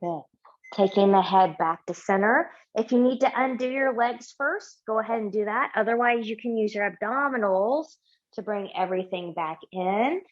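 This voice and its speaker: A middle-aged woman leading a yin yoga class, giving slow, gentle cues and affirmations while lying on a mat in a small home studio. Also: medium pitch, muffled recording. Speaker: yoga teacher. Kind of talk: guided yoga class instruction.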